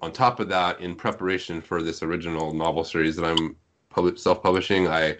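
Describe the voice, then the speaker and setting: A man with a very deep voice chats informally on a podcast. He wanders into tangents and pokes fun at himself. There is some noise and clicking behind his voice. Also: fairly even intonation, a little fast, fairly bright tone. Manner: rambling, tangential, self-deprecating talker. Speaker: translator and author, podcast guest. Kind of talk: casual podcast chat.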